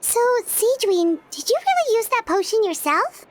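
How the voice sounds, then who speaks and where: Casting: tiny game fairy companion, very high squeaky childlike voice, animated, blunt, excitable. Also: female voice, moderate pace, slight background noise. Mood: incredulous. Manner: exclamatory, speaks of herself in third person. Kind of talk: animated video game voice acting.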